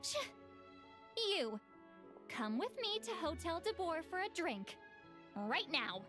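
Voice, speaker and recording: An actress playing a blunt, grumbling female tracker in a game cutscene, dry and unhurried. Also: extremely high pitch, sing-song, full character voice. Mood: brusque. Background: game music.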